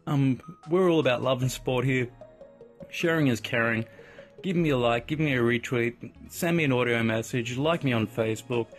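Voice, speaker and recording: Chatty man hosting a podcast. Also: medium pitch. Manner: chatty and upbeat, lots of shout-outs and asides. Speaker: podcast host. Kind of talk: casual solo podcast chat.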